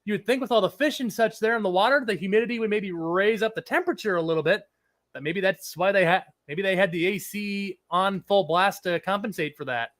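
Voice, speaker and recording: A man with a fairly high voice hosts a relaxed live stream, his throat a touch scratchy from a cold. He rambles easily and stops to greet viewers from the chat. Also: brisk. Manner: chatty, rambling, reads chat aloud. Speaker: livestream host.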